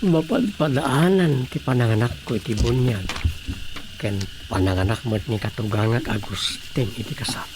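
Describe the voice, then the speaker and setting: A grumbling Ilocano husband played by a male actor in a comic radio drama. He talks slowly in a gravelly creak, with clear noise and insect ambience behind him. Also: medium pitch, full character voice. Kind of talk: radio drama comedy acting.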